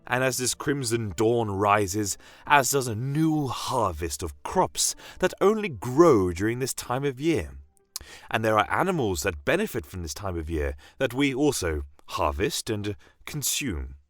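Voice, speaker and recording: A man's voice: mid-range voice, very animated, unhurried, a bit bright, no echo, studio quality, faint music behind. A man playing a genial fantasy doctor and alchemist, telling tales of his world in a formal, florid, theatrical way. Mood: wondrous, storytelling. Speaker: in-character narrator. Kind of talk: scripted in-character fantasy storytelling.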